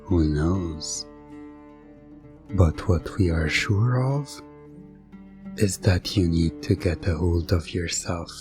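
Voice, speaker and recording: A man narrating a hypnotic second-person story in a theatrical, deliberate way, with dramatic pauses. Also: sits low, over music.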